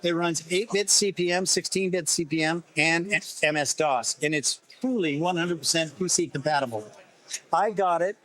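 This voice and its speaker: Slightly hoarse high-pitched man. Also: moderate pace, a bit bright. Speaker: vintage computer repairer being interviewed. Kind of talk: conversational interview, explaining. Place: busy festival exhibit table.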